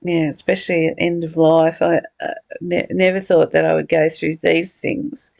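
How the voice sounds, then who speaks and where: A woman's voice: sits low, flat delivery, moderate pace, creaky, dry recording. A middle-aged woman talking reflectively and personally in a conversational study discussion. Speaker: study group leader.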